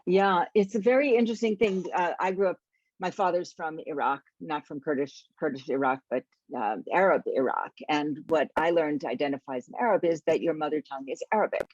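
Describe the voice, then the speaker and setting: A woman's voice: sits low, a little fast, darkish tone, no echo. A woman hosting an interview, musing thoughtfully and reading out audience questions. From United States. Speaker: interview host. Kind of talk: reflective conversational interviewing. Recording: dry, dull lo-fi sound.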